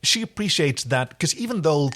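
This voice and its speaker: A man co-hosting a casual podcast recap of a sci-fi series, animated and teasing. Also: fairly high pitch, moderate pace. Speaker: podcast co-host.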